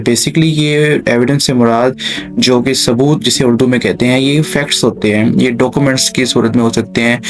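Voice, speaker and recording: A man with a Pakistani accent giving an explanatory lecture in simple terms, addressing listeners directly in an instructive way. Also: mid-range voice. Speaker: law lecturer and channel host. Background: background music.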